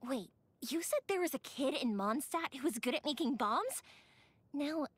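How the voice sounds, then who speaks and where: A high, girlish video game heroine, a cheerful young fireworks maker. Her delivery swings widely in pitch, chatty and expressive, like someone eagerly sharing stories with a friend. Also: moderate pace, dry recording. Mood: bright, curious. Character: cheerful, warm-hearted young woman fireworks maker, lively storyteller. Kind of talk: video game character voice lines, conversational storytelling.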